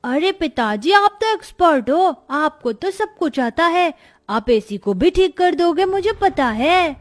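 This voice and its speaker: A woman voicing an eager young boy who pleads excitedly, in a moral-story cartoon. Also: extremely high pitch, measured pace, voice pushed up. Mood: pleading.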